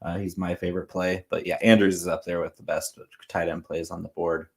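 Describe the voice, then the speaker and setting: A man co-hosting a casual fantasy sports show, relaxed and repeating words as he thinks. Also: low-pitched, brisk, creaky, slightly rough. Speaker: co-host.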